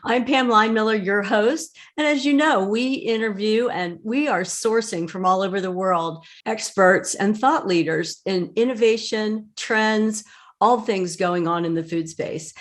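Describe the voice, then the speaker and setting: A woman's voice: mid-range voice, measured pace. A woman hosting a podcast, interviewing a guest in a conversational style.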